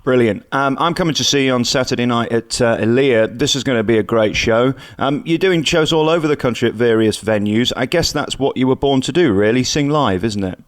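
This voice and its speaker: A man's voice: mid-range voice, brisk, hardly any pauses. A man hosting a celebrity interview for radio.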